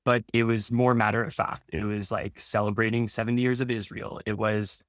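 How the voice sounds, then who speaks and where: A man's voice: fairly low pitch, flat delivery, brisk, no room sound, studio quality. A man who talks as a podcast guest in a blunt, wry, matter-of-fact way. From Brooklyn, New York. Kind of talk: casual frank conversation.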